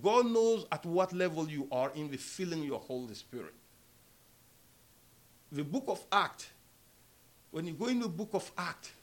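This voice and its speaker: A man preaching a sermon and leading prayer in a small church, speaking emphatically with a strong voice that rises loud on key words. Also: high-pitched. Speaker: pastor.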